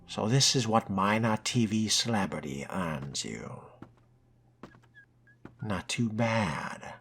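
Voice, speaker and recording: Man playing a very deep, gravelly, genteel vampire. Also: animated, a bit bright, a little hiss or hum, heavily acted. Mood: musing, wry. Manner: measured, genteel, dryly amused. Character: suave, wry male vampire fledgling snooping around. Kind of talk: in-character video game roleplay narration. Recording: music behind the voice.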